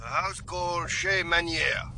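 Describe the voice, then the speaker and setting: An actor playing a steady police inspector on a stakeout, reporting in a flat, factual way. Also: male voice, a little high, measured pace.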